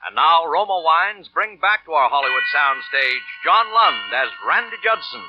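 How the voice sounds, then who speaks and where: A man's voice: on the high side, animated, normal speed. A man announcing a 1940s radio thriller in a dramatic scripted style. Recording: muffled old radio broadcast over music.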